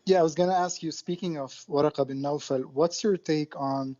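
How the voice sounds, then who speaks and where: A man's voice: a little high, normal speed, dark tone. A polite man born in Lebanon who grew up with Arabic as his first language phones in to a show. His voice comes through a dull, muffled line. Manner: polite and complimentary. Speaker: caller. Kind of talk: phone-in call.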